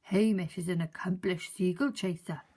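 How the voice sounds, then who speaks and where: A woman voicing a calm, agreeable old lighthouse keeper who speaks in a slow, stately, approving way. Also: lowish voice, slightly rough.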